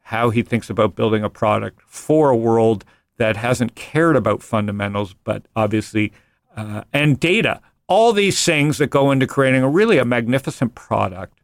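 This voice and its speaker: A man hosting a casual finance podcast, loose and joking, rambling with quick asides. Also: mid-range voice, animated, no room sound. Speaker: podcast host and venture investor.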